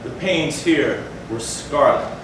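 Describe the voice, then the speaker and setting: A man giving a dramatic stage reading of a gothic story with theatrical, measured phrasing. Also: mid-range voice, fairly bright tone. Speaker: stage reader. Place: indoor theatre, far from the mic. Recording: echoey theatre from far off, faint noise.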